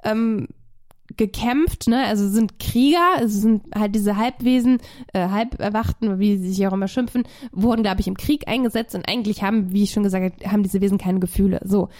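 A woman's voice: mid-range voice, fairly even intonation, fairly quick, dark tone. A woman who has a cold, casually reviewing a film as a podcast co-host, rambling with many fillers.

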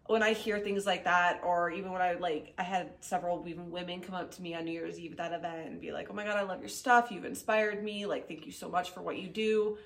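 A woman's voice: lowish voice, fast talker, gravelly, hardly any pauses. Chatty woman podcast host, a little nasal. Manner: chatty, many "like" fillers, animated. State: slightly nasal and sniffly, getting over an illness. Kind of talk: casual chat.

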